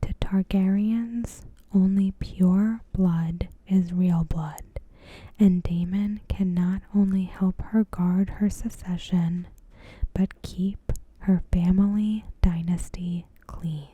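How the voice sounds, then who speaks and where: A woman doing ASMR commentary in a breathy, hushed whisper, measured and deliberate, close to the microphone. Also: fairly low pitch, fairly even intonation, no echo, muffled recording. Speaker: ASMR creator reviewing a TV episode. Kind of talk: ASMR, soft scripted commentary. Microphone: close microphone in a dry space.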